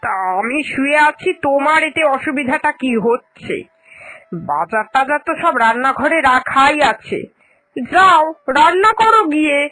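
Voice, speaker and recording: A woman's voice: high voice, lively intonation, a little slow, bright, forceful tone, heavily acted, low-quality recording. An actress playing a stern, protective older mother-in-law in a cartoon, scolding and defensive. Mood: curt. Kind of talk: cartoon voice acting.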